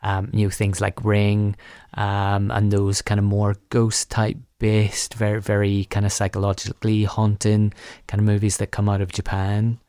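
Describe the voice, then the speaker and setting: A man's voice: on the low side, completely flat delivery, normal speed, darkish tone, clear, resonant tone, dry recording, studio quality. A man hosting a podcast interview, asking tentative, rambling questions in a conversational way.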